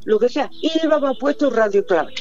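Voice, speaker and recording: Chatty woman in her seventies, high voice. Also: lively intonation, a little fast, lo-fi sound, faint music behind. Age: 70s. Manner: chatty, warm-hearted, rambling, devout exclamations. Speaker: radio caller. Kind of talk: casual chat on a phone-in.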